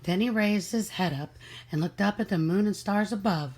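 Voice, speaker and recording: A woman reading a rhyming children's picture book aloud in an expressive, measured storytelling manner. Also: mid-range voice, background noise. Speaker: story reader.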